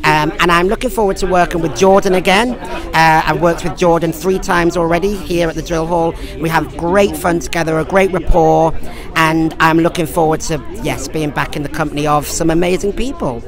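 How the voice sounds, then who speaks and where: A man's voice: a little high, steady tone, normal speed. An English man from Lincoln chatting effusively and jovially as a pantomime actor being interviewed. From Lincoln, England. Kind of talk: casual interview chat. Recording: loud crowd chatter behind.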